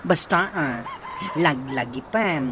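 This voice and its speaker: An actress playing a pushy village vendor who sells goods on credit, in a coaxing sales-talk manner. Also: female voice, sits low, lively intonation, slow. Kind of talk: radio drama acting. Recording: audible background noise.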